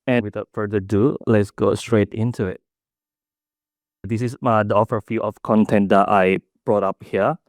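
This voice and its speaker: A young man from Indonesia gives a conference talk in a fairly low voice. He speaks in a halting way, with restarts and fillers, and the sound is dry and close with no room echo. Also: steady tone. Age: young adult. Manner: halting, with self-corrections and fillers. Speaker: student presenter. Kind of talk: conference presentation.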